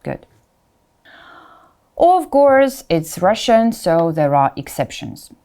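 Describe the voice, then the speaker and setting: Low, mellow, slow-paced woman language teacher. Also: very animated. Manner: instructional, repeats example words slowly and distinctly; informal asides, checks in with "right?". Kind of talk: pronunciation lesson addressed to learners.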